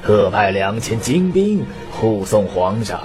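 A man playing a slow, sneering old court schemer. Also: fairly low pitch, big pitch swings. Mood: smug. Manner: sneering, theatrical. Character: scheming, arrogant elderly imperial father-in-law and rebel. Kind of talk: Chinese costume radio drama. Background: background music.

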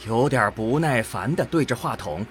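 A man's voice: medium pitch, expressive, moderate pace, a little hiss or hum. A man narrating a scripted science fiction audio drama.

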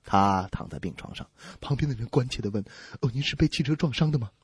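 A man's voice: mid-range voice, lively intonation, fast, breathy edge, no echo. A man hosting a comedy radio show, telling jokes and bantering in a playful, exaggerated way. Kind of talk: joke-telling banter between songs.

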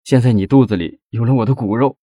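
A man's voice: medium pitch, fast talker, warm tone, dry recording, close to an everyday voice. A man voicing a troubled, anxious husband who talks with heavy earnestness and command in an audiobook. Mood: sorrowful, tender. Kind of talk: audiobook character dialogue.

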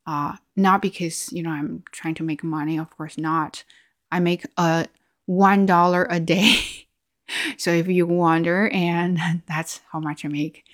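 A young woman with as her chats in English on her own podcast. Her voice sits a little low, and she talks thoughtfully, often backing up to restart a phrase or correct herself. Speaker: podcast host. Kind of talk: casual solo chat to listeners.

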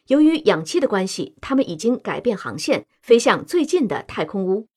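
An actress plays a female TV news host around thirty, reporting on a race. Her voice is slightly high, expressive and a touch husky. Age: 30s. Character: brisk female television news presenter of about thirty. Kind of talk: broadcast news commentary within radio drama.

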